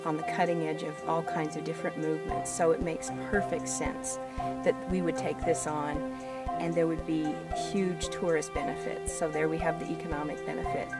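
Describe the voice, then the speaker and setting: Older woman, lowish voice, distant mic. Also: little pitch movement, normal speed. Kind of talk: reflective interview remarks and poetic recitation. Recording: distant microphone under loud music. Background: loud music.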